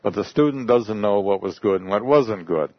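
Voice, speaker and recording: A man's voice: lowish voice, normal speed, no echo. A man whose first language is English, a missionary telling a congregation about his work in a folksy, conversational storytelling way. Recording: dull lo-fi recording.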